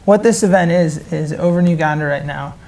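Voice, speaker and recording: A woman's voice: deep voice, fairly quick, warm tone. A woman giving casual, enthusiastic interview answers as a member of a charity team.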